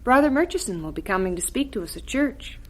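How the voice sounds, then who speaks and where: A woman playing a gentle, devout mother in a radio drama, soothing and softly admonishing. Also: on the high side, sing-song, quick pace.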